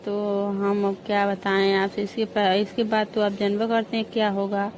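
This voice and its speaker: A woman's voice: mid-range voice, monotone, normal speed, darkish tone, lightly acted. A woman with an eastern Hindi-belt accent playing a coy but frank young married sister-in-law, chatting casually in a staged phone call. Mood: coy, complaining. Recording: noisy phone call recording.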